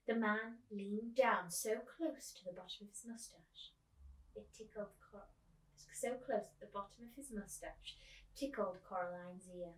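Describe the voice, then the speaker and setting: A woman's voice: medium pitch, a little slow, far from the mic. A woman reading a children's book aloud in a storytime style. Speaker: storytime reader, teacher. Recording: echoey room, away from the mic.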